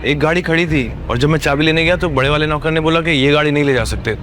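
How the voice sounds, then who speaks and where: A man's voice: on the high side, fast talker, fairly bright tone, lightly acted. A man playing a meek, hapless young poor husband in a Hindi audio drama, apologetic and hesitant. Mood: meek, explaining.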